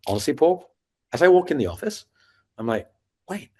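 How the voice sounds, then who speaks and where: A man in his fifties from Scotland with a neutral British accent, telling his career story as a podcast guest in lively, animated chat. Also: fairly high pitch, a little fast, breathy edge. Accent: neutral British, not Scottish-sounding. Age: 50s. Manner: lively storyteller acting out his past self in quotes. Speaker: podcast guest, sales founder.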